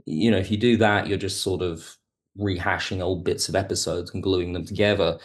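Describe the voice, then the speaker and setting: A man's voice: sits low, fairly even intonation, normal speed. A man speaking as an interview guest, reflective and analytical, carefully qualifying his points as he explains. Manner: thoughtful, analytical, hedging. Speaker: podcast guest, audio drama writer.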